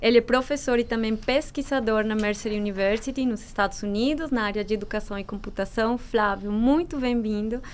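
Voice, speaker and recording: A woman from Colombia with a Colombian Spanish accent, moderating a videocast panel with measured introductions. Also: fairly high pitch, a little hiss or hum.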